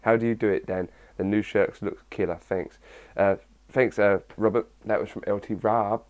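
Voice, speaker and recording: A young man talks loosely to his viewers, his voice a little husky from the early hour. He sits a bit low in pitch and wanders through his answers with plenty of fillers and restarts. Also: steady tone, moderate pace, a little mellow. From England. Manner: chatty and rambling, with many fillers. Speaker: fitness vlogger answering viewer questions. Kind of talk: casual chat to camera.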